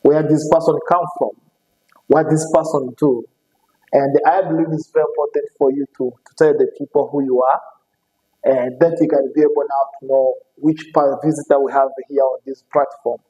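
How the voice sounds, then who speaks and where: A man hosting an interview show, speaking non-native English with frequent fillers and quick "okay" and "yes" replies. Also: mid-range voice, fairly even intonation, dark tone, big-room sound, rough recording. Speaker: show host. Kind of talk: casual interview.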